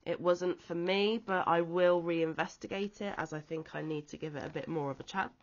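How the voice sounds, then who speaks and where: A woman from the UK who is ill and wheezy with a chesty cough, chatting casually off camera about a crochet magazine with frequent pauses and ums. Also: lowish voice, fairly even intonation, dull audio. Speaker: crochet vlogger. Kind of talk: casual show-and-tell chat. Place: at home, off camera.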